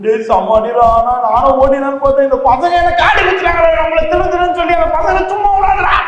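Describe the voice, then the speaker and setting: A man's voice: very high-pitched, fairly even intonation, fast talker, highs cut off. A man voicing a sulky young chicken thief. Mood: sore. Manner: complaining, whining. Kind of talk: live puppet show for children. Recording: echoey hall with crowd.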